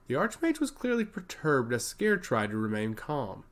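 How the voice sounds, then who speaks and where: A man's voice: medium pitch, wildly swooping pitch, moderate pace, fairly bright tone. A man reading scripted fantasy narration as a storyteller.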